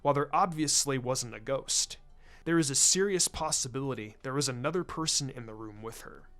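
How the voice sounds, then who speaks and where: A man voicing a shrewd, laid-back intelligence chief in a story reading, with a careful, deliberate way of speaking. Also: a bit bright, close to an everyday voice. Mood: analytical. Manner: careful, deliberate, low-key. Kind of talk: fan fiction story reading. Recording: music under the voice.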